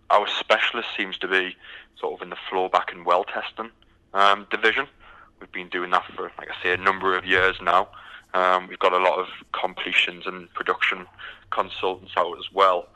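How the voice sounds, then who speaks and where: A man's voice: sits low, sharp tone, rough recording. A British man from the UK, a recruitment firm founder answering interview questions with many ums and restarts.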